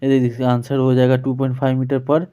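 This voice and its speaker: Flat-pitched Indian man teaching, dull recording. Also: fast, smooth. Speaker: physics teacher. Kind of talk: informal exam-prep lesson, solving questions. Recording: dull, lo-fi sound.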